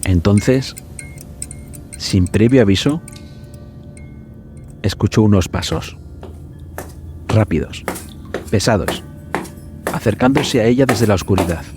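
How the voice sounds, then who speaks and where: A man narrating a scripted suspense horror story for a podcast in a dramatic, measured way. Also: fairly low pitch, expressive. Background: background music.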